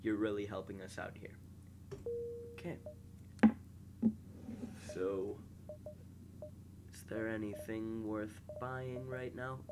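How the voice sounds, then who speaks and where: A young man with a slightly nasal, congested voice, calmly commentating a space game and reading its text aloud while muttering to himself. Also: lowish voice, slow, pauses often, very noisy. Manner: relaxed, mumbles quietly to himself while playing. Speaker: gameplay host.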